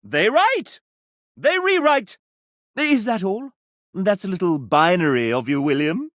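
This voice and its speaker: Sassy, wildly swooping game show host. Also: male voice, high-pitched, big pitch swings, a little slow, a bit bright, dry recording, studio quality, heavily acted. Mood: teasing, showy. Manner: grandiloquent, arch, melodramatic. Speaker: voice actor playing a game show host character. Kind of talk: theatrical video game character acting as a game show host.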